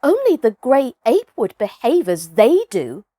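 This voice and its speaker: A proud young wild boy from a children's storybook, acted by a woman. He muses with disdain in a raised, animated pitch. The sound is close and dry. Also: a little slow, dry recording, heavily acted. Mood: disdainful. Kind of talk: children's storybook character reading.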